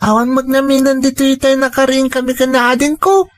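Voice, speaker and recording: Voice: high-pitched, little pitch movement, brisk, natural voice. A performer playing an earnest, respectful young boy, a dutiful grandson, speaking politely with an Ilocano accent in an audio drama.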